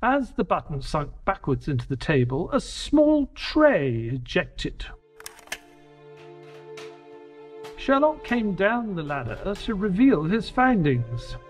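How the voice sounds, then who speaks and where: Male narrator as detective's earnest companion: dramatic scripted storytelling, lighter high register, expressive swings. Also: unhurried, few pauses, faint music behind. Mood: intrigued.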